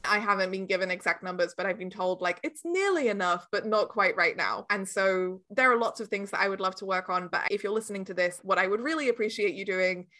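Bright-voiced, earnest woman guest. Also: medium pitch, fast, few pauses. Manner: earnest, emphatic, informal. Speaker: interview guest, audio drama director and producer. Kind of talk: casual interview chat.

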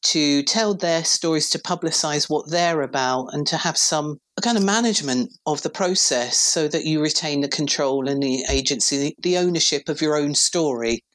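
A woman in her fifties from England with a very deep voice, a PR director talking thoughtfully as a podcast guest. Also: moderate pace, few pauses. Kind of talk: relaxed conversational interview.

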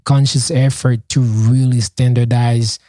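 A man whose native tongue is Haitian Creole chats on a podcast, his voice mellow and relaxed. He talks with earnest passion, stumbling through fillers and restarts. The sound is dry and close. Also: mid-range voice, unhurried, no echo. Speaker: podcast co-host and project founder. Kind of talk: casual podcast chat.

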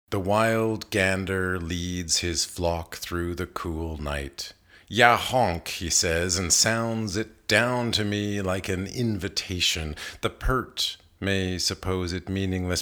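A man reading poetry aloud in a measured, deliberate manner, pausing between phrases. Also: low-pitched, fairly bright tone. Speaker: poetry reader. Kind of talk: literary poetry reading, scripted recitation.

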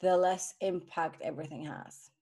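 A woman from Switzerland co-hosting a podcast, talking casually with animated restarts and fillers. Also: lowish voice, moderate pace. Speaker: podcast co-host. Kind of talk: casual conversation.

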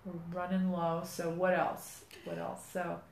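A middle-aged woman hosting a podcast about her skin care company, speaking in an earnest, persuasive, rambling way with a low voice. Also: monotone, a little slow, gravelly. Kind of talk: casual podcast chat. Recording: echoey room.

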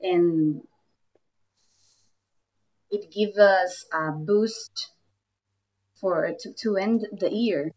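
A woman's voice: mid-range voice, extremely slow, pauses often, a little mellow, low-quality recording. A young adult woman from Argentina with an Argentine Spanish accent, speaking English hesitantly with many fillers in casual chat. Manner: hesitant, frequent fillers and laughter. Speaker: guest, yoga teacher.

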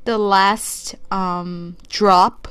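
Slow-talking woman podcaster. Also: mid-range voice, steady tone, a bit bright, clear, resonant tone, dry recording. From Brazil. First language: Portuguese. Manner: earnest, thoughtful, searching for words. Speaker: podcast co-host. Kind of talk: solo podcast commentary.

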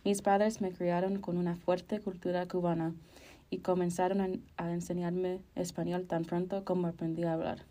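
Young female podcast co-host, flat intonation, soft dark tone, casual and self-correcting. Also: fairly low pitch. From Chicago, United States. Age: early 20s.

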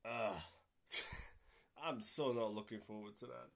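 A man's voice: lowish voice, slow, creaky. Rambling young male podcast host. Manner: rambling, swears casually, many fillers. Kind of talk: casual solo podcast chat.

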